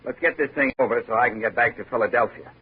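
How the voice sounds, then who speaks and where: A man's voice: mid-range voice, fast, a little hiss or hum, lightly acted. An actor playing a chummy, wisecracking male detective in 1950s radio drama, casual and joking. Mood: wisecracking, friendly. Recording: muffled old radio recording, faint music.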